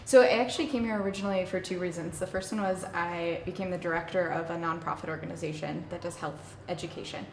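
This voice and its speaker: A woman from Arizona, a nonprofit director, talking about herself in a relaxed, friendly interview indoors. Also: fairly low pitch, quick pace, vocal fry, few pauses, reverberant, slight background noise. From Arizona, United States. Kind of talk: casual interview. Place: indoor room with a plain wall.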